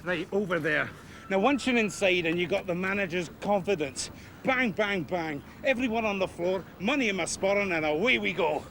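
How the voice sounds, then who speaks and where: An actor playing a menacing Scottish gangster with a Scottish accent who makes jokey threats. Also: male voice, high voice, lively intonation, moderate pace. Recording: background noise.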